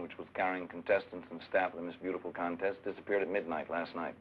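A cold American official with a very low voice acted by a man, evasive and controlled. The film sound is dull, with faint noise. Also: lightly acted. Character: cold, evasive male government operative covering up a crime.

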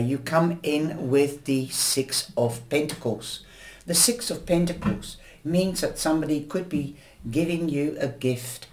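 A woman giving a weekly tarot horoscope reading in a gentle, chatty, rambling way, her voice a little nasal from a cold. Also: rumbling low. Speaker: tarot reader. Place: indoors at a table, camera over the cards.

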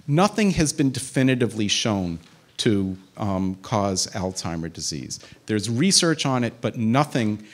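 Man lecturing with a handheld mic. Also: medium pitch. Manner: conversational, many fillers and restarts. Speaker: physician giving a public talk. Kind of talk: public lecture with audience questions. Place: community center meeting room. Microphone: handheld microphone.

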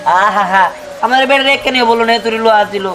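Male actor as greedy village boy, silly, whining, pleading, exaggerated comic skit acting, loud music behind. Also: extremely high pitch, a little fast. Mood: pleading, stubborn. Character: greedy, silly village boy who loves eating iron.

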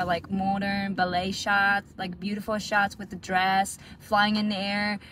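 A young woman from Japan, a native Japanese speaker, chatting casually to her phone camera, with many "like" fillers and quick self-corrections. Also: medium pitch, noisy background. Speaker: vlogger and pro dancer. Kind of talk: casual vlog chat to camera. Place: bathroom, then parked car.

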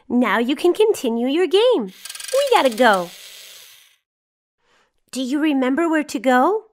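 A woman's voice: high-pitched, exaggerated voice. A woman voicing a cheerful, caring little girl in a children's cartoon, encouraging and teaching as she talks.

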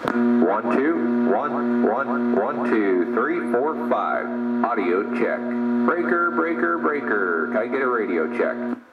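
A man loudly counting for an audio check, heard through a radio speaker. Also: mid-range voice, very animated. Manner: loud, drawn-out counting into the mic. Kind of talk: counting for a transmit test. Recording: muffled radio audio with heavy noise.

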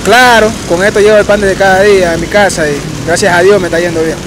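A young man, a street marimba player, giving relaxed, hesitant answers outdoors in a park. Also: high voice, fast talker, background noise. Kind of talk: casual interview answers.

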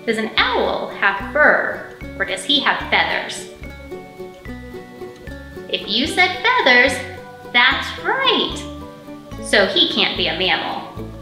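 A woman in her thirties leading a storytime for young children, speaking in simple, expressive phrases with plenty of questions. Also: a little high, takes it slowly, pauses often. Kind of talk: storytime teaching, singing and picture-book reading for young children. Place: indoor library storytime room. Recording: roomy echo, faint music.

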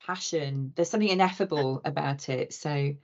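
A woman's voice: deep voice, normal speed, a little mellow, a little hiss or hum. A middle-aged woman hosting an online book talk from a room with bookshelves, effusive and rambling, with many restarts. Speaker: host and interviewer. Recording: dull lo-fi video call audio.

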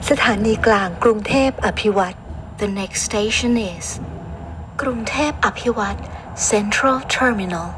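A woman reading a recorded train station announcement in a very slow, drawn-out delivery. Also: medium pitch. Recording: distant announcement with train noise.

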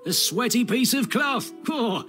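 A man's voice: extremely high pitch, lively intonation, a little slow, sharp tone, faint music behind, exaggerated voice. A man playing a pompous, theatrical knight who announces a tournament in a video game, with mock gravity. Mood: smug, mocking. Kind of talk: video game character acting.

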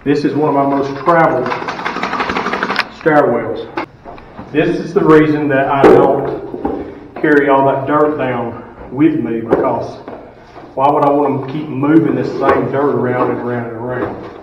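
Middle-aged custodian in an echoey stairwell. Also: male voice, mid-range voice, steady tone, unhurried, pauses often, background noise. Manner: plain-spoken, explains step by step, asks rhetorical questions. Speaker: school custodian. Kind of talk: casual how-to instruction. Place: school stairwell, indoors, hard walls. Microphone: camera some distance off. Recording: echoey stairwell, distant and dull.

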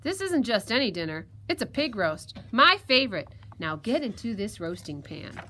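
A woman voicing a hungry, greedy male fox in a children's story, gruff and easily exasperated, given to growls and shouts. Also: medium pitch, sing-song, moderate pace. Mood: triumphant, gloating.

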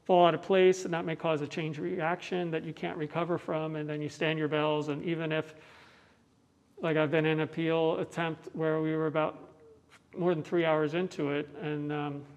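A middle-aged American man patiently explaining things in a measured way during an interview, as a bell ringer giving a tour. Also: on the high side, flat delivery. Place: large indoor tower room. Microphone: clip-on mic on the chest.

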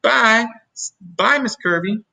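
Little schoolboy played by a man, earnest, polite, hesitant, raised pitch, bright tone, storybook character. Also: slow pace, vocal fry, exaggerated voice. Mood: eager.

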